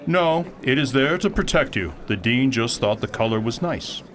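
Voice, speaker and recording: A man playing a polite, literal-minded mentor guide in an audio drama, speaking in a formal, measured and precise way. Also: medium pitch, animated, background noise, natural voice. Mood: courteous, unruffled. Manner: formal, measured, precise phrasing with few contractions.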